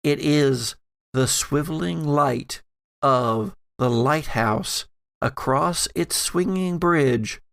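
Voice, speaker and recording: A man hosting and game-mastering a tabletop podcast, talking in a measured way with a storyteller's flair. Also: fairly high pitch, gravelly, a bit bright, no room sound, studio quality. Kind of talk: casual chat and narrated game recap.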